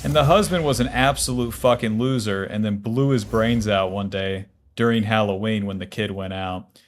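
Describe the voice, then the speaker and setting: A man's voice: fairly low pitch, moderate pace. A man in his twenties streaming a game, talking casually and bluntly, cursing freely and answering chat questions aloud. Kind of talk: casual livestream chat while playing.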